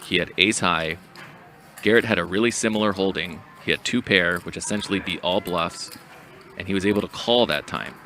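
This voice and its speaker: A man narrating a scripted poker hand breakdown as a commentator, in a measured, explanatory way. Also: sits low, bright, forceful tone, soft background music.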